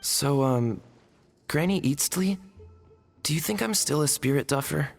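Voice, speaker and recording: A timid young apprentice in a video game, polite and hesitant. The voice is low and husky. Long reverb and music surround it. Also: female voice, animated, unhurried, exaggerated voice. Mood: unsure. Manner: hesitant, deferential. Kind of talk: animated video game cutscene acting.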